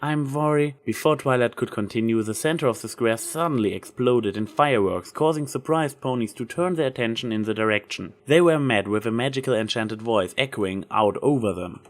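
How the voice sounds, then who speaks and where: A man's voice: mid-range voice, fairly quick, few pauses. A man reading scripted fan fiction as the story's narrator.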